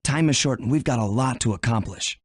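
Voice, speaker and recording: A man's voice: medium pitch, brisk, breathy edge, lightly acted. A man playing a cool, wisecracking master thief in a video game, with a wry, laid-back delivery.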